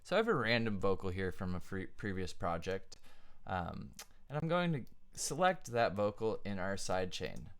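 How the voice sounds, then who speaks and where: A young man walks through a music production tutorial in a relaxed, unscripted way. His voice sits very low and comes across smooth and clear. He fills the gaps with ums and uhs as he works things out aloud. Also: moderate pace. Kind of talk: casual screen-share tutorial.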